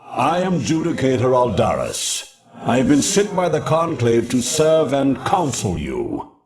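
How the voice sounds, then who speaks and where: An actor playing a stern, haughty alien judge in a video game, speaking in a formal, pompous and accusing way. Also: male voice, medium pitch, wildly swooping pitch, a little slow. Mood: solemn. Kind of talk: theatrical video game briefing dialogue.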